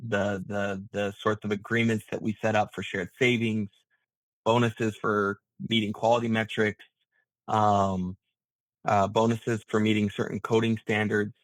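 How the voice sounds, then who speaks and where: A man speaking as an interview guest, explaining his business in a halting, thinking-aloud way with repeated words. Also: fairly low pitch, flat delivery, dry recording, poor audio. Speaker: interview guest, healthcare management founder.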